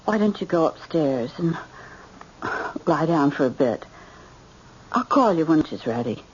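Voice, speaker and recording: An actress playing an anxious, fussing American mother in an old-time radio drama, halting and repeating herself. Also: low-pitched, animated, a little mellow, highs cut off, a little hiss or hum. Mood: concerned.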